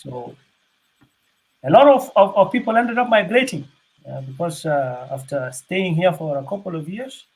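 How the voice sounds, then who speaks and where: An older man from South Sudan giving unscripted panel remarks, halting, with many fillers and restarts. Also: on the high side, husky, rough recording.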